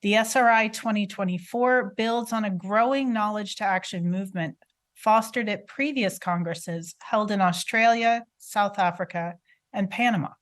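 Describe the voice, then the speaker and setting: A woman delivering a scripted, formal promotional announcement. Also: mid-range voice. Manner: measured, formal.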